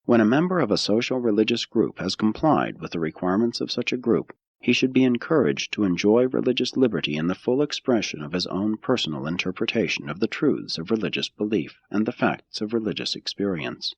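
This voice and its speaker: A man reading a scripted audiobook of religious philosophy in a measured, solemn, deliberate way. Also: on the low side, animated, husky, no echo, highs cut off. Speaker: audiobook narrator.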